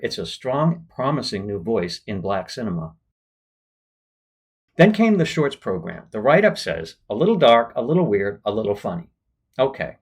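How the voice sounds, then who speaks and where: Male vlog host, film reviewer. Husky voice, animated pitch, measured scripted read. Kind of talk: film review narration to viewers.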